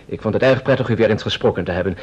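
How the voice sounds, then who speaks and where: An actor in an old radio play, playing a flustered, apologetic gentleman who talks in a hesitant, fussy, polite way. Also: on the low side, rapid-fire, slightly rough. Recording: muffled vintage radio recording.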